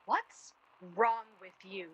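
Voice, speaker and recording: A woman's voice: mid-range voice, expressive, takes it slowly, husky, highs cut off. An actress playing a guarded, bitter and blunt young woman in a naturalistic radio play, arguing her points plainly. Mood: heated, defiant. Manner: blunt, argumentative. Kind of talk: radio play dialogue, naturalistic period drama.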